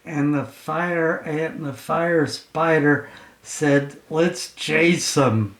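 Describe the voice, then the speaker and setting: A man improvising a bedtime story as a father would for his child, in simple, playful, halting narration. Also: on the high side, fairly bright tone. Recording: roomy home recording.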